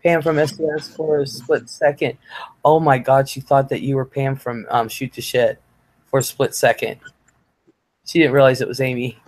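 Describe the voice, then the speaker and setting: A woman's voice: a bass voice, flat delivery, normal speed, a little mellow, low-quality recording. A woman hosting a livestream in casual chat, chatty and laughing, with many fillers and free swearing. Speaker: livestream host.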